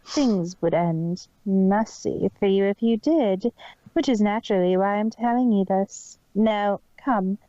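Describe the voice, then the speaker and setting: A woman's voice: mid-range voice, unhurried, warm tone, no echo, highs cut off, natural voice. A woman voicing a smug, scheming female pony villain in a fan fiction reading, boastful and matter-of-fact. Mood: coolly menacing. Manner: matter-of-fact, self-satisfied.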